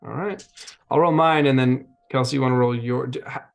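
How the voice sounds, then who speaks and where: A man's voice: medium pitch, steady tone, brisk, vocal fry. A man playing a tabletop roleplaying game, improvising in character and casually discussing play.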